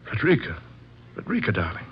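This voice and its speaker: An actor playing an outwardly devoted, scheming husband in a melodramatic old-time radio drama style, on a worn old recording. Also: male voice, fairly low pitch, huge pitch swings, quick pace, dull audio, slight background noise. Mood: stunned, fearful. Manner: earnest, melodramatic.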